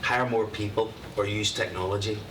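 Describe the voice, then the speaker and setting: Northern Irish man, lowish voice, easygoing presenter, picked up from far off with noise behind. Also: normal speed. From Northern Ireland. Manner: relaxed, conversational, with filler words. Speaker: software presenter. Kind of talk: presenting at a public meeting. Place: county committee meeting room. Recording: roomy hall, distant mic, steady noise.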